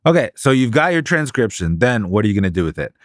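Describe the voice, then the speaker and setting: A man co-hosting a podcast, explaining study methods in a measured, casual way. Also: mid-range voice, big pitch swings, no room sound, studio quality. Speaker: podcast co-host.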